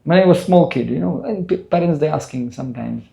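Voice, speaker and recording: Older man, Russian accent, gravelly voice, mellow dark tone, earnest lecturing, spiritual teacher. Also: fairly high pitch, fairly quick. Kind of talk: lecture to a temple audience.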